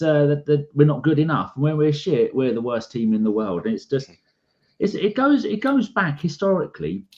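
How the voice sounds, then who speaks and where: Ranting London man, slightly lo-fi. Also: a little high. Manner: animated, ranting, acts out conversations. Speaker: podcast co-host. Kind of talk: casual chat.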